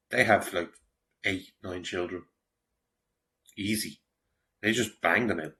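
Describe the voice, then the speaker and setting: A man's voice: lowish voice, slow pace, sharp tone. An Irish man giving casual commentary in a blunt, conversational manner. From Ireland.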